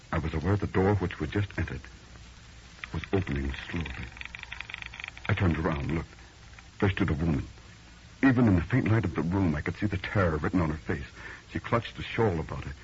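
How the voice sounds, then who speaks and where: A man's voice: low voice, lively intonation, fairly quick, long pauses. An actor playing a dazed, uneasy city man telling an eerie tale in old radio drama style, earnest and haunted. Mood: hushed, ominous. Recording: muffled old radio recording, faint noise.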